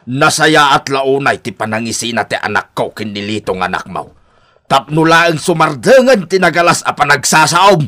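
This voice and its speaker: Sharp, indignant Ilocano father. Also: medium pitch, animated, moderate pace. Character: indignant, stern father defending his married child. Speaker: radio drama actor. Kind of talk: Ilocano radio drama acting.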